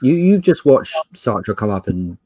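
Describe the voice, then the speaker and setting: A man narrating a tabletop game as game master, using dramatic pauses and emphasis as he describes scenes. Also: fairly low pitch, very animated, warm tone, no room sound.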